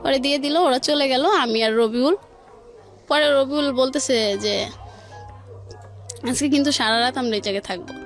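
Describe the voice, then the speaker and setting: A woman's voice: sits high, normal speed, long pauses. A woman with a Bangladeshi accent telling a personal story informally and colloquially, stringing events together with quoted dialogue. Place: radio studio. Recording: faint music behind. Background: faint music.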